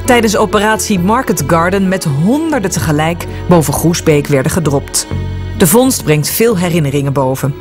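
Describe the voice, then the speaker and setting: A woman with a lowish voice reads scripted documentary narration in a measured, even manner. Music plays clearly behind her.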